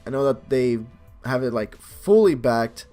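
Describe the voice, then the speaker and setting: Casual male let's player, mid-pitched voice. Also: expressive, measured pace, dark tone. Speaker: game video creator. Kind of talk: casual let's play commentary and read-aloud narration. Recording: music behind the voice. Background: game music.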